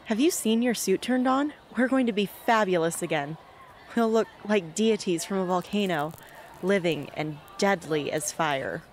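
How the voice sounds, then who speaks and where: A young woman acts a defiant, guarded teenage girl in a radio play. She is terse and dry, at a middling pitch, close on the mic. There is faint noise behind her. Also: normal speed, lightly acted. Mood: wry, fond. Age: young adult. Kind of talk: amateur radio drama acting. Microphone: close studio microphone.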